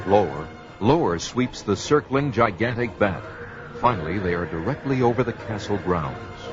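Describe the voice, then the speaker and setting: A man's voice: fairly low pitch, animated, moderate pace, music in the background, lightly acted. A man as a grave storyteller, giving dramatic scripted narration of a sword-and-sorcery audio drama in a portentous manner. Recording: dull lo-fi, loud background noise.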